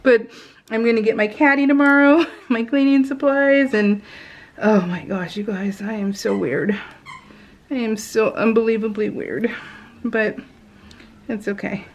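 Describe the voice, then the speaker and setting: Chatty higher-voiced woman. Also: measured pace, pauses often. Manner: chatty, self-deprecating, giggly. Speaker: craft video host. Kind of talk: casual rambling chat to viewers. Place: indoors at home, voice-over recorded while crafting.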